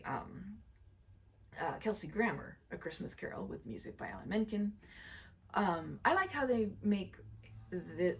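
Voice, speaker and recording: A woman hosting a read-aloud, chatting casually in a gentle, rambling, expressive way. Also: medium pitch, husky. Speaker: storyteller and channel host. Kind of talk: casual chatty commentary and story narration.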